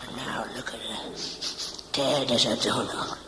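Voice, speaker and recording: A man's voice: medium pitch, steady tone, a little slow, natural voice. An actor playing a cantankerous older male healer who scolds and mocks in colloquial speech with hectoring "eh?" tags, in an audio drama. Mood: scornful. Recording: very echoey room, clear background noise.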